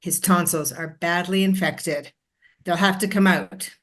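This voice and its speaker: A woman's voice: fairly low pitch, steady tone, a little slow, close to an everyday voice. A woman playing a brusque, weary doctor in a stage drama, speaking in blunt, clipped phrases.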